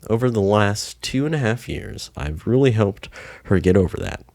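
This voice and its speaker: A man's voice: lowish voice, expressive, normal speed, darkish tone, dry recording. A young man co-hosting a casual podcast, laid-back and swearing freely, at times reading a post aloud. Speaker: podcast co-host.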